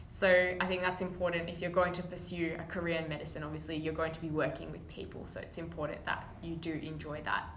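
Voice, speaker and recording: A woman's voice: fairly low pitch, pushed and bright, roomy sound, a little hiss or hum. A young woman, a medical student, giving an earnest practice interview answer with frequent fillers.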